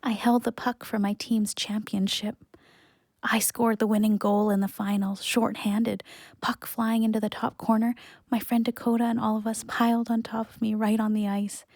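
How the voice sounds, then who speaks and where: A woman's voice: on the high side, little pitch movement, moderate pace, darkish tone, dry recording, studio quality. A woman playing a resolute, grieving but hopeful young woman in an audio play, earnest and plain-spoken. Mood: sombre, remembering.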